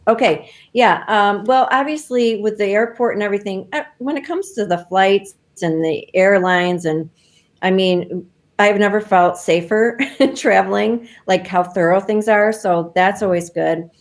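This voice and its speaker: A woman's voice: mid-range voice, unhurried. A woman who owns a travel agency, a guest on a live video interview, upbeat and giggly. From Michigan, USA.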